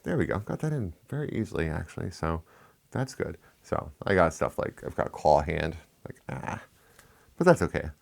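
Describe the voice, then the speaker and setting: Man, low pitch, lisp, casual tech review, chatty, rambling asides. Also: creaky. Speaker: tech reviewer, channel host.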